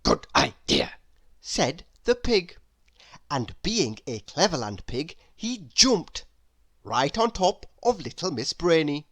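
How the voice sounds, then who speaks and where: Woman, children's story narration, expressive, unhurried, lowish pitch, hugely varied intonation. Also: no echo. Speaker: storybook reader. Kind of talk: scripted read-aloud narration of a children's story.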